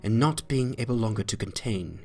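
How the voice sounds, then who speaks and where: A male narrator reads a classic tale with solemn weight. He phrases deliberately and leans into dramatic emphasis, with music playing behind him. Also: on the low side, a little mellow. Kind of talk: dramatic literary reading, scripted narration.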